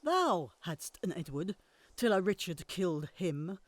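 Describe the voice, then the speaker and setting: A woman's voice: fairly low pitch, sing-song, measured pace, dry recording. A bitter, cursing old queen. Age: older. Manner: hissing whispers rising to fierce curses. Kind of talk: Shakespearean audio drama acting.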